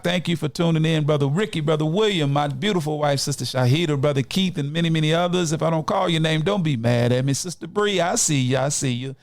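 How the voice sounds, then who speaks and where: A man's voice: on the high side, no echo. Man hosting, dry close sound. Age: late 50s, nearly 60. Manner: exhortatory, addresses listeners as brothers and sisters. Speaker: podcast host. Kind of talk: community podcast talk with announcements and shout-outs.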